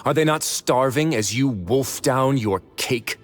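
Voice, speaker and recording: A man voicing a calm, stern young duke. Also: mid-range voice, soft background music, close to an everyday voice. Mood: reproachful. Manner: measured, composed, quietly cutting. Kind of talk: video game voice acting.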